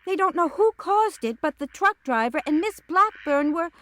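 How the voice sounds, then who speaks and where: An actress playing a chatty, fussy country housekeeper and cook in an audio drama. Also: female voice, very high voice, expressive, a bit bright. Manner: chatty, then indignant and hurried. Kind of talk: radio drama acting.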